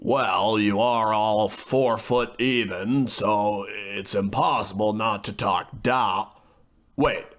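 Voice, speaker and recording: Priggish, fussy gentleman scholar, played by a man. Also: lowish voice, steady tone, takes it slowly. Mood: haughty, flustered. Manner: fussy, haughty, hesitant. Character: priggish, educated, appalled gentleman student researcher. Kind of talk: old-time radio horror comedy acting.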